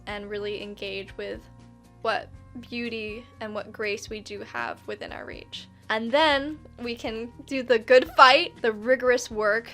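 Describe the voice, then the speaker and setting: A woman with a fairly high voice speaks candidly to her viewers as a video review host. Her delivery is earnest, casual and reflective. Faint music plays behind her. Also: fairly bright tone. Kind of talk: casual reflective talk to camera.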